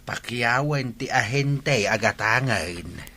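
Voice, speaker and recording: A man's voice: medium pitch, lively intonation, takes it slowly, bright, forceful tone, slightly rough, heavily acted. An actor with an Ilocano Filipino accent playing a practical village father in a radio drama. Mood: matter-of-fact. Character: practical village father planning a mango harvest.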